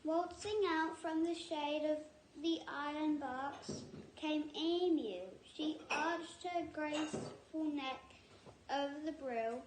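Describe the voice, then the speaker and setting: A woman reading a picture book aloud in a halting, deliberate way, stopping to add personal asides. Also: high voice. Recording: echoey room with faint noise.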